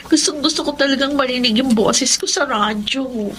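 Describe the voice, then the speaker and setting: A woman's voice: high-pitched, moderate pace, a bit bright, slight background noise. A woman playing an earnest, hopeful young job seeker in a radio drama, with a Filipino accent. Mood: wistful.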